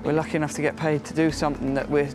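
A man's voice: on the high side, level tone, rapid-fire, music in the background. A young man from England, a jockey, chatting casually in an interview and leaning on "you know".

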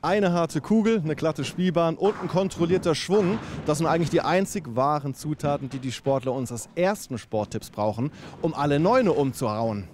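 A man in his thirties presenting to camera with a handheld mic in an indoor sports venue, lively and playful. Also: on the high side, normal speed, background noise. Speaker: TV sports reporter. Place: indoor bowling alley and sports hall. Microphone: handheld microphone.

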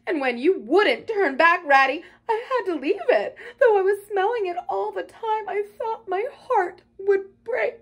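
A woman's voice: very high-pitched, lively intonation, measured pace, few pauses, muffled recording, pitched above the performer's own voice, full character voice. A woman voicing a small, timid male mole from a storybook, tearful and pleading in a broken, plaintive way. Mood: sobbing, heartbroken. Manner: sobbing, broken, plaintive delivery. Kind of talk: storybook character acting.

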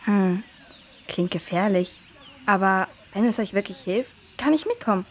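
A woman's voice: mid-range voice, lively intonation, a little slow, lightly acted. A woman playing a curious, helpful young girl adventurer in a children's audio drama.

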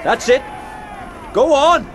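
An actor playing a knight's eager, dogged younger brother in a historical radio drama. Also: male voice, very high voice, animated, slow pace, crowd noise, noisy background, full character voice. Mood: nervous, wry.

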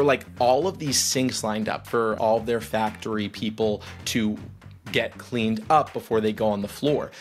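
Upbeat man narrating a tech explainer. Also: medium pitch. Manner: upbeat, explanatory, lightly joking. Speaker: vlog host. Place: small studio room. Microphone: boom arm mic.

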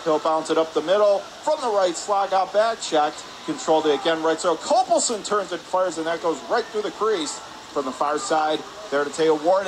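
A man doing live radio play-by-play hockey commentary in rapid, descriptive calls. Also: high-pitched. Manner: rapid descriptive calls, excited on scoring chances. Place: press area above rink in an indoor arena. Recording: noisy ice arena, steady background din.

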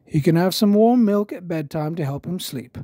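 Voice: mid-range voice, lively intonation, normal speed, warm tone, no room sound, clean studio sound, close to an everyday voice. A narrator voicing a kindly, instructive cartoon bear doctor in a storybook reading.

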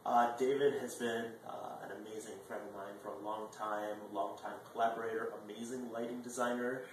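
A man's voice: on the low side, little pitch movement, a little fast. Young man in a very echoey room. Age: 20s. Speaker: video blog host. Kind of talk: casual chat to camera. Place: indoor dining room at a table. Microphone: far camera microphone. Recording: echoey room, distant mic, faint noise.

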